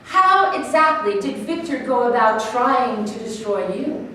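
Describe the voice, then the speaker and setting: An actress in a staged play reading, playing a composed doctor who questions a defendant in a measured, professional way to assess his sanity. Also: on the high side, animated, pushed and bright. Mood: measured, questioning. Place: staged reading in an echoey room. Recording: echoey room, distant mic, faint noise.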